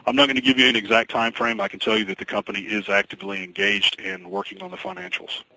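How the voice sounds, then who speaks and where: A man speaking as a company executive, answering questions on an investor conference call in a measured, formal, guarded way. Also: mid-range voice, very animated, vocal fry, bright, forceful tone. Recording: noisy conference call recording.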